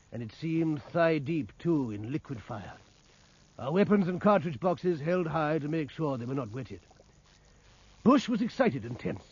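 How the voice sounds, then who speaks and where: Husky British officer narrating gravely. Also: male voice, fairly high pitch, darkish tone, highs cut off, heavily acted. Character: earnest British naval officer recounting his own exploits. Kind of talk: dramatic first-person scripted narration.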